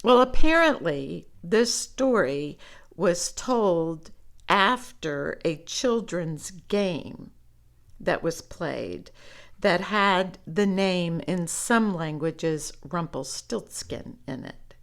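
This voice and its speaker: A woman reading stories aloud to children in an expressive, conversational way, as if talking directly to young listeners. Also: lowish voice, very slow, vocal fry. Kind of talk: read-aloud storytelling with chatty commentary.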